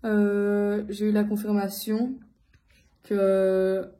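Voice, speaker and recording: A woman from Belgium giving a casual personal account to her followers, speaking in a halting way with many filled pauses. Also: medium pitch, little pitch movement, dark tone. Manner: hesitant, halting delivery with frequent long filled pauses. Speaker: vlogger addressing followers.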